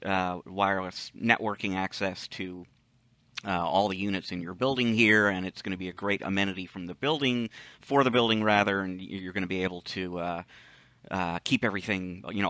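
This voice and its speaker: A man co-hosting a podcast, giving casual commentary in a measured, explanatory way. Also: sits low, pushed and bright, dry recording. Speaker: podcast co-host, tech industry commentator.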